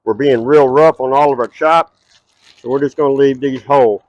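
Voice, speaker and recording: A man's voice: mid-range voice, normal speed, darkish tone, no echo, rough recording. A middle-aged man with a Texas twang, hosting a cooking show at an outdoor backyard table and chatting straight to his viewers.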